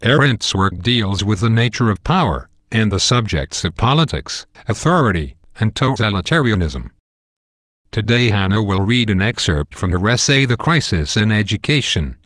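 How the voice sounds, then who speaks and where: Synthetic man as animated male host, lowish pitch, formal, explanatory, scripted presenting, dry sound, text-to-speech voice. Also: moderate pace, dry recording.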